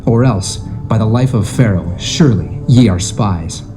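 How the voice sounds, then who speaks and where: An actor playing a stern, commanding governor of ancient Egypt in a dramatised scripture reading, in formal archaic speech. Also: male voice, fairly low pitch, moderate pace, natural voice. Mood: accusing. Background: music.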